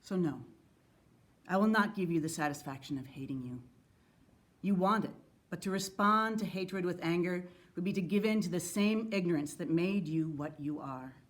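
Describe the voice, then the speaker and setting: A woman giving a solemn, earnest and measured dramatic reading of a letter. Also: fairly low pitch. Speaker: actor reading historical letters.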